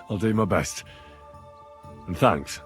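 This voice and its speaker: An actor playing a plain-spoken, earnest young hero in a video game, with a dry, understated manner. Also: male voice, deep voice, very slow, faint music behind. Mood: wry, subdued.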